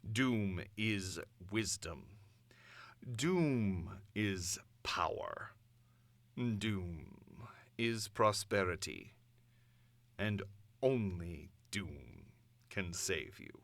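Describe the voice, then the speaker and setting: A man playing an imperious, cold comic-book supervillain dictator, delivering a measured, grandiose address with pompous authority. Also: sits low, lively intonation, gravelly, fairly bright tone, no room sound, full character voice. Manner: measured, pompous, speaking of himself in third person. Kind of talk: dramatic comic-book villain monologue, broadcast address.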